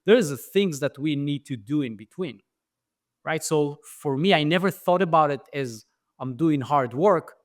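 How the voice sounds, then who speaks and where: A man's voice: fairly high pitch, a little slow, dry recording, studio quality. A middle-aged man with an Israeli accent, a podcast guest telling his story with many fillers and restarts. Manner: thoughtful, frequent fillers and restarts. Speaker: guest, franchise founder. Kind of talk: podcast interview.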